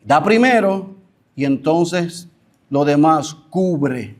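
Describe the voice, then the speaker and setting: A man preaching a sermon as pastor, acting out imagined conversations with animated emphasis. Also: a little high, extremely slow.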